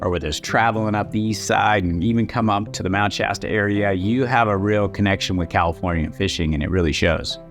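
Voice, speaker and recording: Low-voiced man hosting a podcast. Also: a little fast, hardly any pauses, soft background music. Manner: affable, chatty, encouraging. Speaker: podcast host. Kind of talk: casual interview chat.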